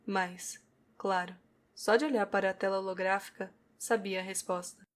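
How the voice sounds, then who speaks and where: A woman's voice: mid-range voice, steady tone, moderate pace. A woman with a Brazilian accent reading scripted narration for an audio novel.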